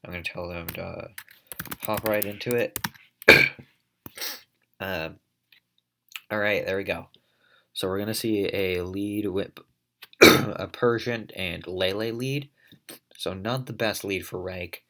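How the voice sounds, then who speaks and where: A man's voice: low voice, takes it slowly, gravelly, pauses often, sharp tone, slight background noise. A man commentating a competitive online battle in a casual play-by-play, congested from allergies, coughing and thinking aloud as he goes. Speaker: league commentator.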